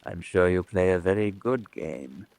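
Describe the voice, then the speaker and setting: An actor playing a middle-aged father and host in an old-time radio drama, formal, hospitable and quietly probing. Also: low voice, measured pace, vocal fry, dry recording.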